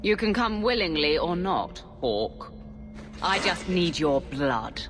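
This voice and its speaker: A woman's voice: fairly low pitch, sing-song, takes it slowly, music in the background. An actress playing a determined, hard-bargaining woman antagonist in a video game, pointed and commanding. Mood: insistent. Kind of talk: dramatic video game acting.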